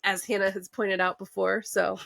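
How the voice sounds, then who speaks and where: A woman's voice: mid-range voice, level tone, normal speed, vocal fry, fairly bright tone, no echo. A woman co-hosting a podcast in casual chat with a stuffy nose, joking and laughing easily. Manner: laughs easily, playful teasing. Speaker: podcast co-host.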